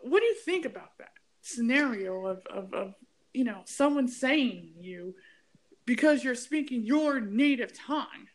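A woman with a fairly high voice hosts a conversational interview. She talks in a chatty, emphatic way and leans into her opinions with a ranting edge. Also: lively intonation, measured pace, poor audio. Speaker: podcast host. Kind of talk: casual interview chat.

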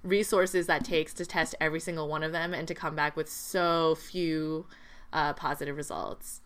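A woman's voice: deep voice, fairly even intonation, normal speed, a bit bright. A woman co-hosting a podcast, giving casual news commentary in a conversational way with plenty of "like". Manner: conversational, peppered with "like". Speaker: podcast co-host.

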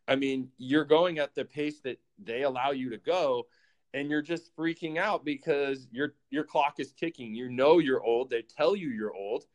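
A man in his thirties tells his story in a casual chat, rising and falling as he acts out what went through his head. His pitch sits in the ordinary middle range, and his delivery is lively and conversational. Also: moderate pace. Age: 30s. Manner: animated storytelling, acts out his own thoughts in quotes. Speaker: former pro baseball player.

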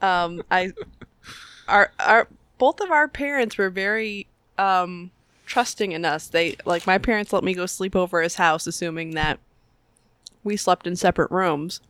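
A woman's voice: on the low side, a little slow, a bit bright, smooth, dry recording. A woman in her thirties telling a story casually. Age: 30s.

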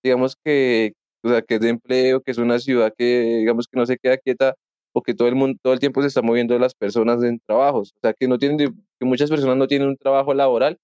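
A man's voice: mid-range voice, little pitch movement, darkish tone, clean tone, no echo. Young man, casual co-host, hesitant delivery, dry muffled recording. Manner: halting, many restarts and fillers. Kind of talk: casual group chat.

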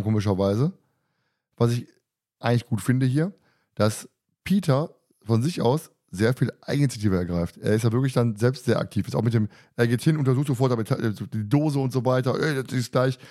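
Man chatting casually at an average pitch. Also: lively intonation, fairly quick, warm tone. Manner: interjecting, building on the other speaker's points. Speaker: podcast co-host. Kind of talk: casual discussion of an audio drama.

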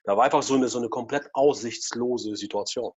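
Interview guest, forties, husky voice, rambling storyteller, muffled recording. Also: male voice, medium pitch, brisk, darkish tone. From former Soviet Union. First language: Russian. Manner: rambling with many fillers and tag questions. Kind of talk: casual personal storytelling.

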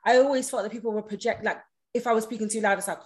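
A woman's voice: a little high, steady tone, very fast. A woman, a podcast guest speaking directly and with assurance in casual conversation. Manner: direct, self-assured, emphatic.

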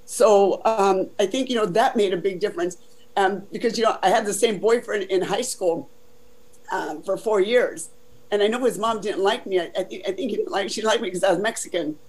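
An older woman from Southern California with a deep voice, telling her life story in a casual, chatty interview full of "you know" fillers. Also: brisk, darkish tone, slight background noise, rough recording.

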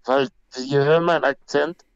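Man from Peru, gravelly voice, blunt slangy storytelling, dry dull sound. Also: medium pitch, fairly even intonation, normal speed, no room sound. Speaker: guest, MMA fighter. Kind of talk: casual conversation.

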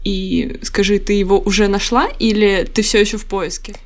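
Woman, medium pitch, podcast interviewer, conversational questioning. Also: level tone, moderate pace.

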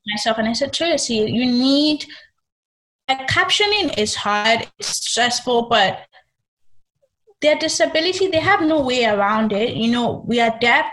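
Young woman w a high voice, talking earnestly, lots of likes and ums. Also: animated, measured pace, a bit bright, low-quality recording. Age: young adult. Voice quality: high-pitched voice. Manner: earnest, reflective, with many fillers. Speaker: guest. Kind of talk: casual interview chat.

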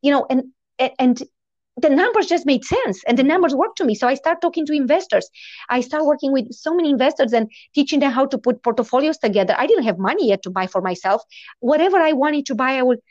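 A woman in her forties with a foreign accent, not a native English speaker, telling her story as an interview guest in a lively, animated way. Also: high voice, fast, dry recording, lo-fi sound. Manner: animated, lively storytelling with quoted inner thoughts.

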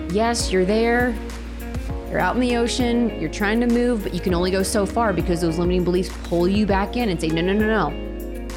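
A woman giving an earnest, reflective personal testimony about her faith, pausing and restarting as she speaks. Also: medium pitch. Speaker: interviewee sharing her faith story.

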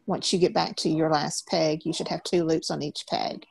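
A woman's voice: low-pitched, flat delivery, moderate pace, gravelly, husky. A woman in her forties patiently teaching a beginner loom knitting class over a video call, step by step. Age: 40s.

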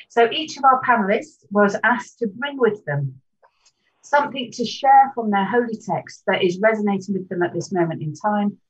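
A woman presents a panel show in a husky, slightly breathy voice, steady and welcoming. The sound is a little dull and muffled, like stream audio. She speaks at a middling pitch. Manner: measured, welcoming presenter tone with throat-clearing. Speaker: host of an interfaith panel. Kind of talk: presenting and leading a short prayer.

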